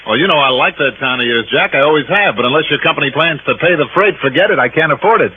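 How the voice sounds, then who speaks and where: An American actor playing a wry, breezy insurance investigator who banters and wisecracks in an old radio drama. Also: male voice, on the high side, expressive, fast, slight background noise, lightly acted. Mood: bantering. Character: wry, breezy freelance insurance investigator, sociable and money-minded. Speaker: star of a radio detective series. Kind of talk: 1960s American radio acting and narration. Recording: tinny old radio broadcast, loud music.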